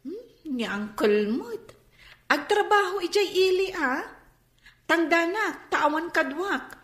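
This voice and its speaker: An actress playing a kindly, persuasive young shop-owning cousin in a radio drama. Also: female voice, extremely high pitch, lively intonation, takes it slowly, fairly bright tone, exaggerated voice. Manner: coaxing, pleading. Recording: echoey room sound.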